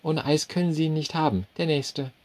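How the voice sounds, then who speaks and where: A man's voice: a little high, a little fast, darkish tone, pitched above the performer's own voice, close to an everyday voice. A man voicing an impatient, flustered young waitress with a clipped, rattled way of speaking. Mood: curt.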